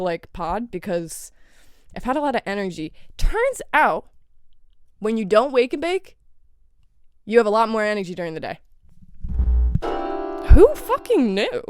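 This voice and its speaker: A woman's voice: medium pitch, smooth, no room sound. A young woman hosting her own podcast, congested from a cold, talking in a rambling, candid way with lots of swearing and filler words. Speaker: podcast host. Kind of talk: casual solo podcast monologue.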